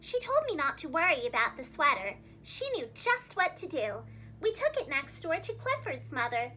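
A young woman reads a story to little children like a preschool teacher. Her voice is very high and swings widely in pitch, with expressive, dramatic phrasing. It is recorded in a room with a faint hum of noise. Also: normal speed. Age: young adult. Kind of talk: animated read-aloud for young children. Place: small indoor room, seated against a plain wall.

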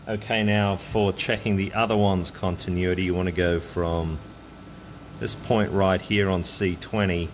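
A man with a low-pitched voice talks through a hands-on electronics demonstration at his workbench. His delivery is casual and halting, as if thinking aloud while he works. A steady noise is clearly audible behind him. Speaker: electronics hobbyist presenter.

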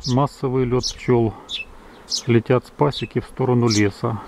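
A man from Ukraine, a beekeeper, calmly narrating outdoors at his hives, reading out times and temperatures in a measured, matter-of-fact way. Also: medium pitch, noisy background. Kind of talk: calm narration of apiary footage. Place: outdoors at an apiary beside hives. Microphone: camera's built-in mic. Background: buzzing bees.

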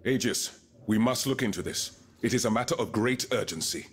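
A man's voice: medium pitch, normal speed, slight background noise, close to an everyday voice. A man playing a proud, earnest, wealthy colonial reformer in a period game drama, speaking formally and eloquently, with gravity. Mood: urgent.

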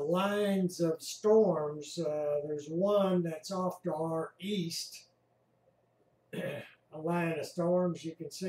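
Slow, easygoing man from Texas. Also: a little high, roomy sound, far from the mic, poor audio. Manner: slow, relaxed, halting with many uhs. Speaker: radio hobbyist narrating a weather radar screen. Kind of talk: casual commentary over recorded radio traffic.

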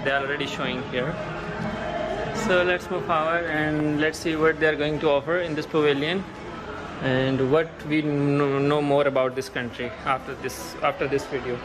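A man filming a walk-through vlog of an exhibition pavilion, speaking haltingly with many fillers. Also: fairly high pitch, steady tone, poor audio. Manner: halting, many uh fillers, non-native phrasing. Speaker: vlogger.

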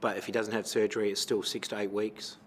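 A middle-aged man with an Australian accent, a football coach answering questions at a post-match press conference into a table microphone, measured and full of fillers. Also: on the low side, little pitch movement, slight background noise. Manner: measured, many fillers and restarts. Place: press conference room with sponsor backdrop.

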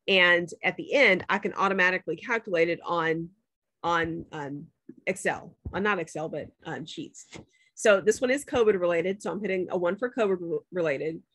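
A woman's voice: fairly low pitch, moderate pace, fairly bright tone, dry recording. Chatty woman teaching a class. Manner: conversational, checks in with students. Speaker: professor. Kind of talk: screen-share class demo.

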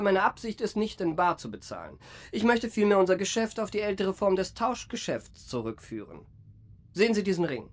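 An actor playing a smooth-talking young confidence trickster in a radio play, polite, flowery and ingratiating. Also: male voice, high-pitched. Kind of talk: German radio play acting.

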